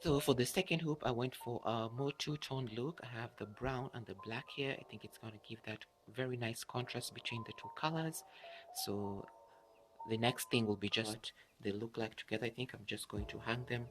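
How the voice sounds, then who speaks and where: A man's voice: mid-range voice, normal speed, fairly bright tone. A man giving an easygoing, explanatory craft tutorial in a casual style. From Kenya. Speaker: DIY craft vlogger. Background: background music with guitar.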